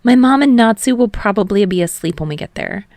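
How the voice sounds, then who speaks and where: A woman's voice: mid-range voice, fairly quick, dry recording, voice pushed up, natural voice. A woman voicing a bubbly, eager young man, chatty and a little sheepish, as an audiobook character. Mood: chatty, reassuring. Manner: energetic, hopeful, gushing. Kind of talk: fan fiction audiobook character voice.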